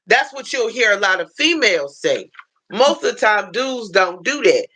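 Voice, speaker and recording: An American woman hosting a casual livestream, telling stories in an animated way, repeating phrases and acting out what people said. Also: mid-range voice, normal speed, slightly rough. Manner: animated storytelling, repeats phrases, acts out quotes. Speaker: livestream host.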